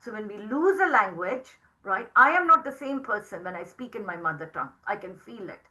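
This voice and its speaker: Bright-voiced woman lecturing, native Tamil speaker. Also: medium pitch, expressive, low-quality recording. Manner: frequent tag questions, measured and explanatory. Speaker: professor lecturing to teachers. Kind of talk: academic lecture.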